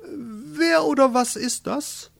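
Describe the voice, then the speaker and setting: A man's voice: sits high, animated, a little slow, voice pushed up. A man voicing a haughty, restless fisherman's wife turned pope in a storybook, speaking in a stately, condescending way.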